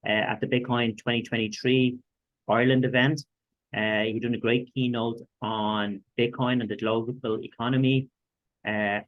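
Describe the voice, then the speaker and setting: A male host opening an interview, who speaks haltingly with many fillers and corrects himself. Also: mid-range voice, lo-fi sound. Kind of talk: interview introduction.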